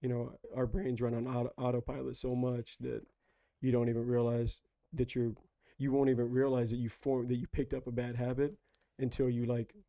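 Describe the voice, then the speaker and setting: A hoarse man chatting casually about a book with friends, rambling with lots of fillers. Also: medium pitch, level tone, moderate pace, mellow, no echo. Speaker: book club host.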